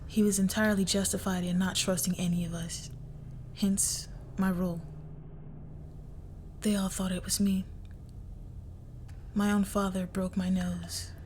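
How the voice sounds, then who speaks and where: A woman playing a crime boss's cold, menacing young daughter in an intimate audio roleplay, speaking in a hushed, deliberate near-whisper. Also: medium pitch, a little hiss or hum, close to an everyday voice. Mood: coldly resentful. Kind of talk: intimate scripted audio roleplay, dramatic monologue.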